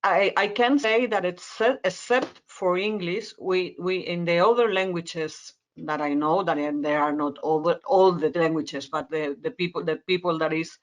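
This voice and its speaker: A woman's voice: on the low side, fairly even intonation. A woman from Spain with a Spanish accent, a native Spanish speaker, answering as an expert panelist and searching for words with frequent repetitions. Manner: halting, word-searching explanation with repetitions. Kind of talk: conference panel discussion.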